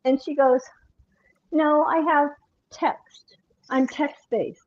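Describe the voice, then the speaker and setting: A woman guest joins a live stream over a remote line and tells a story with animated laughter. Her voice sits high. The call-in audio sounds dull and muffled. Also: slow pace. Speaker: guest caller. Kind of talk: casual storytelling.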